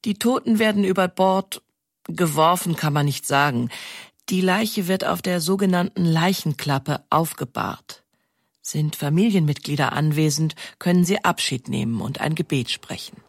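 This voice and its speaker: A woman's voice: deep voice, moderate pace, dry recording, clean studio sound. A woman giving scripted documentary narration as the narrator of an audio feature.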